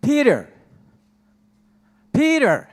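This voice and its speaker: A man playing a flustered, irreverent disciple in an amateur dramatic reading, halting and exclamatory, with flippant asides. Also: very high voice, huge pitch swings, exaggerated voice. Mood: flustered, apologetic. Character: flustered, irreverent male disciple arriving late.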